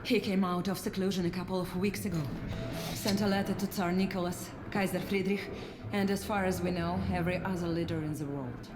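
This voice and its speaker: A woman's voice: lowish voice, steady tone, fairly quick, echoey room, lightly acted. Woman acting a commanding female spy over music. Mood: grave, matter-of-fact. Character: determined, secretive female security chief and spy. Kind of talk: dramatic video game cutscene acting. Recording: music playing behind the voice.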